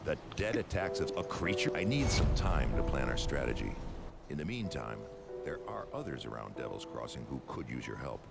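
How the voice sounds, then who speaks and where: An actor playing a gruff, commanding male leader of survivors in a video game, speaking with measured authority. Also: a bass voice, very animated, full character voice. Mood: stern, businesslike. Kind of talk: video game character dialogue. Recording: loud music behind the voice.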